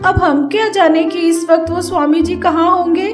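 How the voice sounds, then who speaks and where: A woman voicing an anxious, whiny young daughter-in-law in an animated fairy tale, plaintive and dramatic. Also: very high voice, moderate pace, soft background music, pitched above the performer's own voice. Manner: plaintive, dramatic, childlike pleading. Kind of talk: animated Hindi story voice acting.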